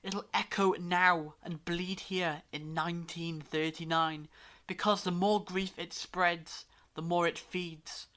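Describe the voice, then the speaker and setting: A woman playing a brainy time-travelling alien in a fan audio drama, lecturing gravely in deliberate monologues with dramatic pauses. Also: fairly low pitch, fairly even intonation, a bit bright. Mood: grave, ominous.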